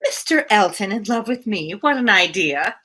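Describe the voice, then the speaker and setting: A woman plays a confident, well-mannered young lady from a classic novel. The voice is bright and swoops in pitch, with poised, formal phrasing. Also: lively intonation, moderate pace, breathy edge. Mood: astonished, dismissive. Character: self-assured, polite young lady, mildly amused.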